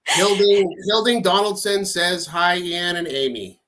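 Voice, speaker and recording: Male podcast host, light-pitched, friendly and loose. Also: a little slow, over a noisy crowd, poor audio. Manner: friendly, rambling, many fillers. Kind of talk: casual interview chat.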